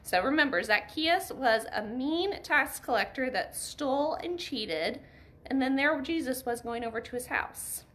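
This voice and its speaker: A woman's voice: sits high, animated, a little slow, a bit bright. A woman, reading and simply explaining a story for children, often asking them questions. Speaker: Bible story reader for children. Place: living room by a fireplace.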